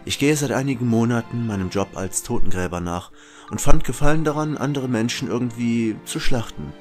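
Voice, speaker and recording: A man's voice: mid-range voice, brisk, a little mellow, faint music behind, natural voice. A man playing a sinister, insolent killer who both talks and narrates dramatically in an audio drama. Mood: darkly narrating. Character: sinister young male killer, smooth-talking, insolent narrator-protagonist. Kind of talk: audio drama dialogue and first-person narration.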